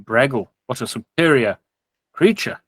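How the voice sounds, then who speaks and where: A man voicing a pompous, fawning village priest in a tabletop game, with halting, formal, unctuous speech. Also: mid-range voice, lively intonation, fairly bright tone, husky, no room sound, voice pushed up, exaggerated voice. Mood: reverent.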